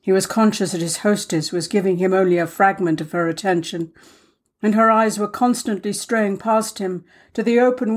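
A woman reads a literary story aloud at a medium pitch. Her delivery is measured and expressive, pausing for dramatic effect. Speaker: audiobook reader. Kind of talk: scripted story narration.

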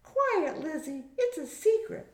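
Woman putting on a high-pitched young daughter voice, roomy. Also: very animated, unhurried. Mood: bright, insistent. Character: young adult daughter, bright and testing. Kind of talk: audiobook character voice. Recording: roomy echo.

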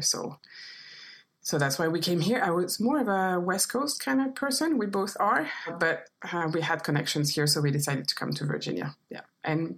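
Brief: French woman, 40s, lowish voice, chatty interview guest. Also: fairly bright tone. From France. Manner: chatty storytelling with fillers and restarts. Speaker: guest photographer. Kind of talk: casual interview chat.

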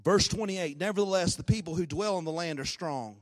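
Raspy preacher, high voice, hall echo. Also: male voice, brisk. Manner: swings between low talk and shouted, sung-out peaks. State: hoarse. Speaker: pastor. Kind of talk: sermon. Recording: long hall reverb.

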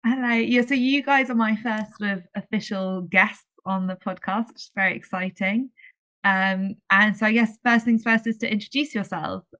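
A woman's voice: medium pitch, steady tone, normal speed, fairly bright tone. A woman hosting a podcast, asking questions in a bubbly, enthusiastic way. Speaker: podcast host. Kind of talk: podcast interview.

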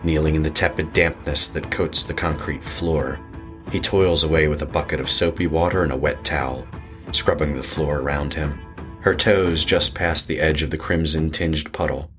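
A man narrates a horror tale in an extremely low voice. His scripted delivery is measured and ominous, with faint music underneath. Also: fairly even intonation, a bit bright.

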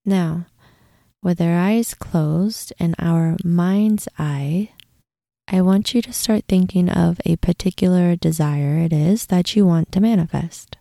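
A woman leading a guided meditation in a gentle, unhurried manner, with breathy, hushed moments. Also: fairly low pitch, no room sound, clean studio sound. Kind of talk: guided meditation, soothing instruction.

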